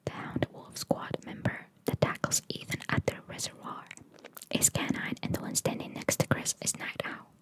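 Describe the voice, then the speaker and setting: A woman whispering in a breathy voice, reading trivia for ASMR and adding chatty, informal asides. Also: moderate pace, rough recording. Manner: informal, chatty, reacting to what is read. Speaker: ASMR host reading video game trivia.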